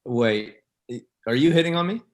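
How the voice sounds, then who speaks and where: A young man with a middling pitch played by a man at a table read. He is a laid-back, unimpressed rival in a naturalistic script over a video call. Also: lively intonation, moderate pace, lightly acted. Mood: unbothered. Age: young adult. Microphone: computer video-call mic.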